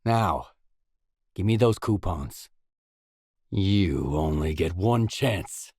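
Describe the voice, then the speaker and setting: A man playing a gruff, pragmatic stalker mercenary in a video game, speaking in a terse, matter-of-fact way. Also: low voice, lively intonation, extremely slow, darkish tone, no echo, clean studio sound, exaggerated voice. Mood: businesslike, guarded, then stern, threatening. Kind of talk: video game dialogue acting.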